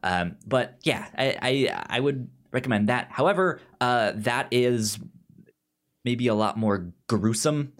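A man's voice: medium pitch, expressive, takes it slowly. A man co-hosting a podcast, giving recommendations in casual conversation, often repeating and restarting his words. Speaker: podcast co-host.

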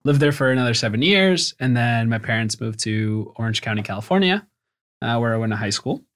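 A man's voice: mid-range voice, expressive, a little fast, fairly bright tone. Reflective young man from Venezuela. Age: young adult. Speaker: startup founder and guest. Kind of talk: casual interview.

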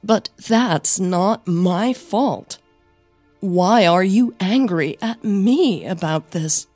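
Hurt young girlfriend in a radio drama, played by a woman, pleading and plain, husky with flu, close dry sound. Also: medium pitch, drawn-out words, a little mellow, dry recording, faint music behind. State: flu-affected voice.

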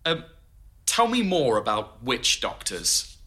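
An inquisitive interviewer in an audio drama, a little awkward and hesitant. His voice is bright and very animated in pitch, as he puts curious questions to his guests. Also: slow, heavily acted. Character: curious, slightly awkward male oral history interviewer.

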